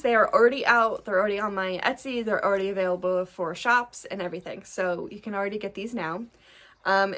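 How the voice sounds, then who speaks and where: A woman's voice: mid-range voice, a bit bright. A young woman with a stuffed-up nose from allergies, chatting casually to camera as she shows her cross-stitch designs, with lots of ums and small laughs. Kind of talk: casual show-and-tell chat to camera.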